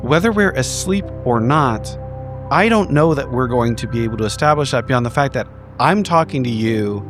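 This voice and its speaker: A man playing a tabletop roleplaying game, improvising in character in an argumentative way and swearing casually. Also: mid-range voice.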